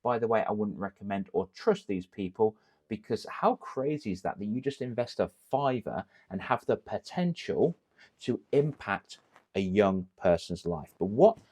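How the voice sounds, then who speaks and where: English male coach, deep register, upbeat to-camera pitch, asks and answers his own questions. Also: normal speed. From England. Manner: energetic, coaching tone with rhetorical questions. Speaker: confidence coach. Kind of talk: direct-to-camera business advice.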